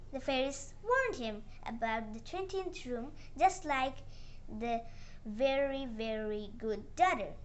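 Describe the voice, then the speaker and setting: A child narrating a moral fairy tale with halting, uneven phrasing and dramatic emphasis on the dialogue. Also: high voice, a little hiss or hum. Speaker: storyteller.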